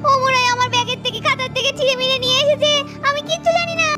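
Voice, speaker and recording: A woman's voice: very high voice, very fast. A woman voicing a frightened young schoolgirl who pleads and whines her denials, with music underneath. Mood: pleading.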